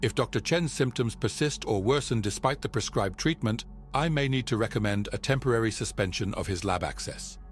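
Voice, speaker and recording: An actor playing a measured, formal psychiatrist on a space expedition in an audio drama. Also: male voice, medium pitch, natural voice. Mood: clinical, grave. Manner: calm, formal, clinical. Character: measured, clinical male psychiatrist on a Mars expedition. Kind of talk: audio drama log and session dialogue.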